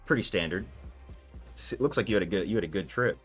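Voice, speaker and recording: A man's voice: lowish voice, quick pace, husky. A man streaming live and casually chatting with his viewers, loose and talkative, with lots of filler words. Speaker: livestream gamer. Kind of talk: casual livestream chat answering viewers. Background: faint background music.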